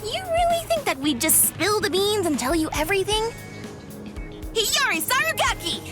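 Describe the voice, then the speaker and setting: Brash insulting tomboy girl warrior, squeaky and loud, game cutscene with music. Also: big pitch swings, moderate pace. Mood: taunting. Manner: rude, shouty, insulting. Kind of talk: video game cutscene dub.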